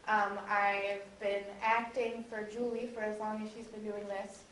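A woman's voice: mid-range voice, little pitch movement, unhurried, fairly bright tone. Woman, voice actor, informal panel talk, far from mic, echoey room. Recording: echoey room, distant mic, faint noise.

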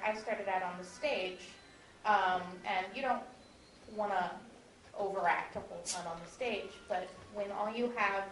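A woman's voice: mid-range voice, fairly bright tone. Woman actor, earnest and explanatory, roomy echo. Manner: earnest, explanatory, uses many fillers. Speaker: actor on a panel. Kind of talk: panel discussion. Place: convention panel room. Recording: echoey room, faint noise.

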